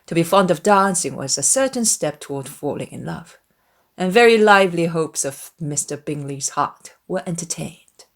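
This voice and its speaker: A woman narrates a classic novel as a dramatized audiobook. Her voice sits a little low, and she tells the story expressively, in measured phrases. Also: animated.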